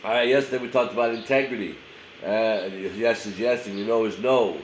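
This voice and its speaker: A man's voice: lowish voice, a little fast. A male pastor with a head cold delivers a short daily sermon in a loose, conversational way, his voice a little nasal and full of hesitations. The recording has a noticeable room echo and a faint hum underneath. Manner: casual, conversational preaching with many fillers.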